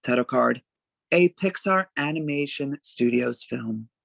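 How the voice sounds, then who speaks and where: A narrator reads stage directions for a script table read. The delivery is plain and descriptive, in a mid-pitched voice with a very dry, close sound. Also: animated, a little slow, gravelly, a bit bright, no echo.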